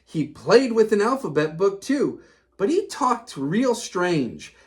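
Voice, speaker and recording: A woman's voice: mid-range voice, sing-song, takes it slowly. A woman narrating a read-aloud storybook for young children.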